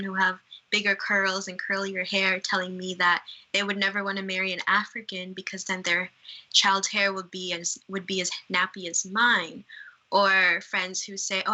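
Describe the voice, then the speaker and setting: A woman's voice: mid-range voice, bright, forceful tone, poor audio. A young woman from Uganda with a Canadian accent, speaking candidly as a guest. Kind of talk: casual conversation.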